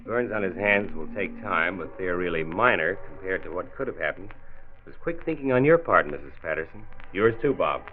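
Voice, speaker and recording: An American actor playing a reassuring, earnest county doctor who narrates a 1940s radio drama in a measured way. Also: male voice, low voice, animated, a little hiss or hum. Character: folksy, reassuring male county health commissioner telling his story. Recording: tinny, band-limited old radio transcription disc.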